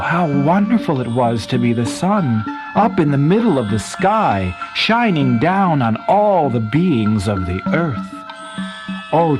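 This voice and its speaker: A man narrating a scripted bedtime story in an expressive, dramatic way, with pauses and emphasis. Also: mid-range voice.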